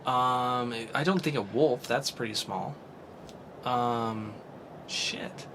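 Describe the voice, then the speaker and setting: A man's voice: medium pitch, slow, a bit bright, slight background noise. A man hosting a casual podcast, thinking aloud and swearing casually as he reasons. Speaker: podcast host.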